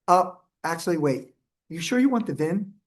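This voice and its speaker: Woman chairing a council meeting, brisk procedural delivery with fillers. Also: fairly low pitch, expressive.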